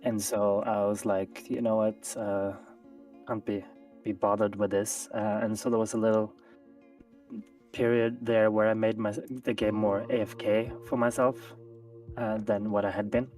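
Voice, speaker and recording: A man's voice: lowish voice, level tone, unhurried, a little mellow. A man chatting as a guest on a live gaming stream, thoughtful and hesitant, with many restarts. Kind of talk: casual live-stream chat. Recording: distant mic with faint music.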